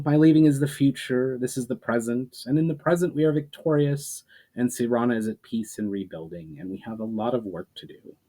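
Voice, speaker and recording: A man's voice: medium pitch, a little mellow, lightly acted. A man voicing a tender, solemn and resolute hero in a dramatic fantasy story reading. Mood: hopeful. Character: earnest, tender male fantasy hero, sad but resolute.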